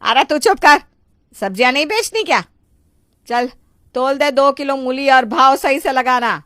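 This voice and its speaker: Woman doing pushy older saas in a story cartoon, kinda high voice, whiny n greedy, very dry sound. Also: a little slow, a bit bright, no echo. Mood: bossy. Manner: bossy, exclamatory, whining. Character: stubborn, greedy older mother-in-law who loves food. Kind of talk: Hindi moral story voice acting.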